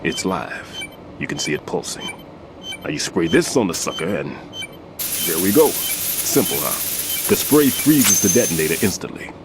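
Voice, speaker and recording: A very deep, animated older man's voice with clear noise behind it. He is a retired bomb disposal veteran, weary but authoritative, instructing step by step like a mentor in a video game scene. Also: moderate pace, long pauses. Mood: instructive. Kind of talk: video game voice acting, explaining and briefing.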